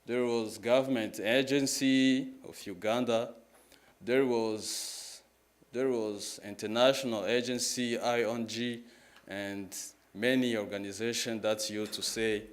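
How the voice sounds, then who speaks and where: A man in his twenties with a Congolese accent giving a personal testimony as a public talk, halting and repeating his phrases in plain words. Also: medium pitch, steady tone. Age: 20s. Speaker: guest speaker at a church, sharing testimony.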